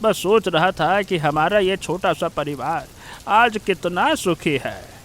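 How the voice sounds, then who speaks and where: A man's voice: high voice, animated, slow, fairly bright tone, slight background noise, exaggerated voice. A man voicing a contented, folksy village father in a cartoon story. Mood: content, reflective. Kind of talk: Hindi cartoon story voice acting.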